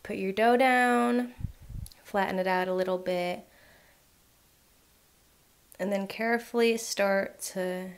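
A young woman hosting a home cooking channel, walking through a recipe in a relaxed way with lots of "um" and "like". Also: medium pitch, slow, long pauses. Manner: relaxed, filler-heavy instructions with frequent "um" and "like". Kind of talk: casual recipe voice-over.

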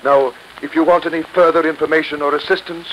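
A man's voice: on the high side, level tone, fast, fairly bright tone, natural voice. An actor playing a worried, courteous churchman in an old radio mystery, speaking earnestly and formally. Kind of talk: 1930s radio mystery acting. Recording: noisy 1938 radio recording.

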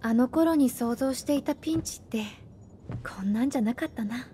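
A woman voice actor playing a gentle, earnest young fighter in a video game, expressive in delivery. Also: on the high side, brisk, a little hiss or hum, lightly acted. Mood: soft, tender. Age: young adult. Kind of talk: Japanese video game voice acting. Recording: music behind the voice.

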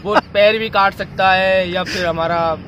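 Male guest, twenties, high-pitched voice, casual explaining style, clear traffic noise outdoors. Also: fairly even intonation, measured pace. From rural North India. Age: 20s. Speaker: interview guest, animal sound mimic. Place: outdoors on an open roadside. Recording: noisy outdoor roadside with traffic.

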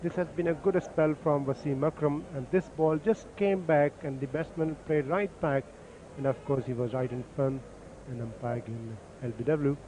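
A man calmly explaining each delivery as the expert summariser on a cricket broadcast. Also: fairly high pitch, normal speed, a little hiss or hum, poor audio. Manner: calm, explains deliveries technically. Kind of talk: analytical commentary.

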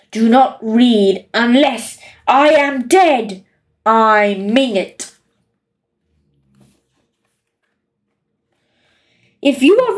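A woman's voice: on the high side, distant mic. A woman voicing a greedy, bossy young boy in a storybook, gleefully insulting and emphatic. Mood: threatening, self-pitying. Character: bossy, greedy, scheming young boy dictating his will.

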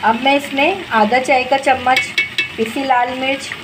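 A woman guides her viewers through a home-cooked dish in a homely, instructive way. Her voice sits fairly high. She talks over the stove, recorded on a phone above the pot, and the sizzle and stirring of the pan come through clearly behind her. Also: fairly even intonation, a little slow. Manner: homely, step-by-step instructive, addresses viewers directly. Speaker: cooking channel host. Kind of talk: home cooking tutorial narration. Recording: noisy with frying sizzle behind.

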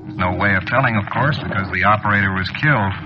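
An actor playing a matter-of-fact official with a formal, measured delivery in a 1940s radio drama. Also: male voice, fairly low pitch, music in the background, natural voice. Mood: sober. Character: matter-of-fact male official explaining an accident. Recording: dull, noisy old radio recording.

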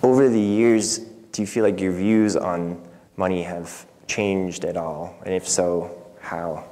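A man's voice: sits low, steady tone, a little slow, mellow. A man in his thirties hosting a conversational interview as pastor in a reverberant church. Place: indoor church sanctuary. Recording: reverberant hall.

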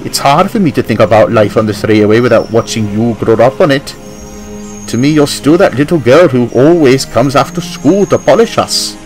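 A man's voice: mid-range voice, animated, moderate pace, faint music behind, lightly acted, poor audio. Man doing a wise old steam engine. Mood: wistful, fond. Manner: gentle, wistful, fond.

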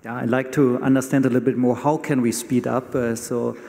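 A man with a gravelly, dark-toned voice puts an earnest question from a conference audience. The hall gives his voice a long reverb. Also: medium pitch, flat delivery, brisk.

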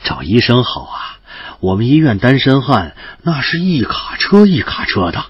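A man's voice: medium pitch, very animated, normal speed, slightly rough, no echo, dull audio. A man playing a teasing, brash older cousin in an audio drama, chatty and boastful. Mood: blustering.

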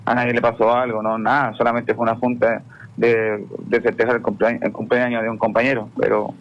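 A young Chilean man, a football player guest on a radio show, answering haltingly with many repeated words and frequent "claro". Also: medium pitch, little pitch movement, lo-fi sound. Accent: Chilean Spanish.

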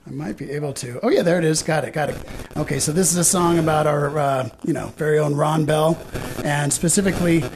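A man's voice: fairly high pitch, fairly quick, a crowd in the background, poor audio. A man chatting casually as a band member being interviewed on radio.

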